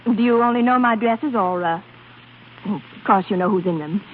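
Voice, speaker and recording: A woman's voice: medium pitch, moderate pace, a little hiss or hum, close to an everyday voice. A woman playing a coy, flirtatious opera prima donna in a 1930s radio drama, hesitant and fluttery. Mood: coy, curious. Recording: tinny, band-limited 1930s radio broadcast with music.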